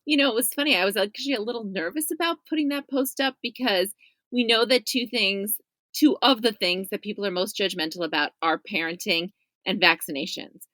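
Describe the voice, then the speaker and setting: Female journalist guest, medium-pitched voice, dry recording, casual conversational answers. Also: brisk, sharp tone, dry recording. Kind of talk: casual interview chat.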